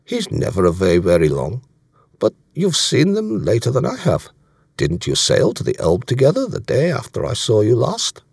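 A man's voice: medium pitch, wildly swooping pitch, moderate pace, gravelly, a little mellow, husky, no echo, studio quality, voice pushed up, exaggerated voice. A man voicing a bluff, shrewd German naval commander in an audiobook, genial and courteous as he probes with questions. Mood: genial probing.

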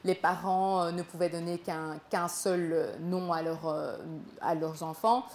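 A young woman who grew up in Belgium, telling her own story to a small audience in a gallery room, halting and repeating words as she goes. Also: lowish voice, little pitch movement, a little mellow, echoey room. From Congo, raised in Belgium. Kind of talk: live spoken performance.

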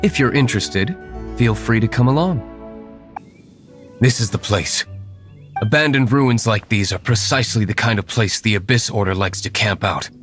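A man's voice: fairly low pitch, normal speed, fairly bright tone, soft background music, lightly acted. A man voicing a stoic young nobleman hero in a video game, composed, curt and formal. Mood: matter-of-fact.

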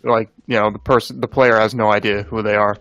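A man making quick, matter-of-fact remarks in a casual podcast chat. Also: lowish voice, flat delivery, a bit bright.

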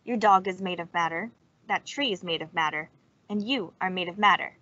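A woman's voice: on the low side, fairly quick, pushed and bright. Woman reading aloud, dull lo-fi sound. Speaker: storytime reader. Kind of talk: read-aloud of a children's science book. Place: indoors on a video call. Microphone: video call microphone. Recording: dull, lo-fi video call audio.

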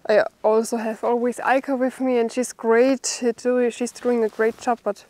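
A young woman from Germany with a German accent, a native German speaker, talking haltingly and unscripted as a bushcraft vlogger. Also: on the high side, little pitch movement, a little mellow. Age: young adult. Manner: halting, with pauses mid-sentence. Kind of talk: unscripted vlog talk to camera. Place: outdoors in a forest.

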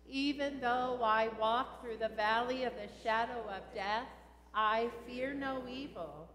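Older female pastor, higher-pitched voice, solemn funeral liturgy delivered very slowly in a reverberant church. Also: a bit bright, slight background noise. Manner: measured, deliberate phrasing. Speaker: pastor leading a funeral service. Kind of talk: solemn liturgy and scripture reading. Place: large church sanctuary, indoors. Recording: echoey church with long reverb.

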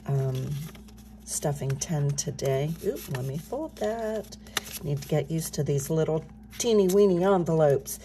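A middle-aged woman talking softly while filling cash envelopes at her home desk, her voice a bit scratchy from just waking up. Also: sits low. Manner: soft-spoken, talking softly. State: slightly scratchy morning voice. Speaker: budgeting channel host. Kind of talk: casual chat while stuffing cash envelopes.

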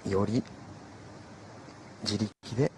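A man's voice: lowish voice, very slow. Male sports analyst over heavy noise. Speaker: bowling analyst. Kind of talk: analytical live sports commentary. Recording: very noisy lo-fi broadcast.

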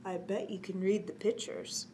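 A woman voicing a kindly, playful grandfather in a children's storybook, gentle and reassuring. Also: medium pitch, level tone, moderate pace, slight background noise, natural voice. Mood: gentle coaxing. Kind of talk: children's storybook read aloud.

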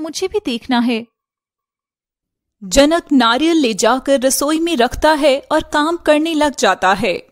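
A woman reading scripted narration as the storyteller of an animated fairy tale. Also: high voice, no room sound, clean studio sound.

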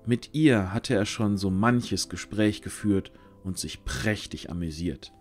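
Slightly low-voiced male storyteller. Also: a little slow, soft background music. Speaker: narrator. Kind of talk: scripted fantasy audio drama narration.

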